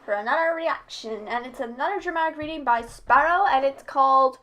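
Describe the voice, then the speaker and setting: A woman's voice: sits high, brisk, pushed and bright. A woman hosting a reaction video, chatting casually.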